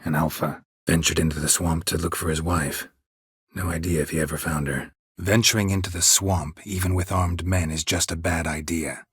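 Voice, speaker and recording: Very husky, deep gruff monster hunter. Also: male voice, dry recording, exaggerated voice. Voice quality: husky, gravelly low voice. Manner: terse, clipped, deadpan, dry. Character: gruff, weary, stoic male monster hunter for hire. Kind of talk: video game character dialogue.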